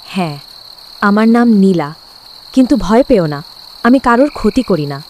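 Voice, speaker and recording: A woman voicing a gentle, lonely, harmless female ghost in a cartoon, speaking softly. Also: medium pitch, animated, moderate pace, slight background noise, close to an everyday voice. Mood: gentle, reassuring. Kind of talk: cartoon voice acting.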